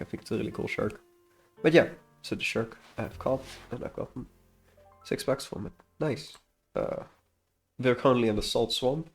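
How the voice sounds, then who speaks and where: A man's voice: medium pitch, vocal fry. A man with a slightly congested voice gives casual, unscripted commentary as a game developer, rambling hesitantly and often trailing off mid-thought. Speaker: indie game developer presenting a devlog.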